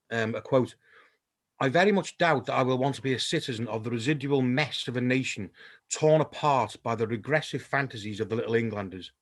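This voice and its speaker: A man moderating a panel discussion over a video call, thoughtful and halting, with frequent ums and restarts. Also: medium pitch.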